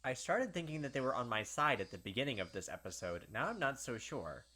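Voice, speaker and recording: A man's voice: mid-range voice, sing-song, a little fast, pushed and bright, breathy edge. A man reading a story aloud expressively as a gaming host, breaking into casual, sweary commentary. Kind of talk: dramatic read-aloud narration with casual commentary.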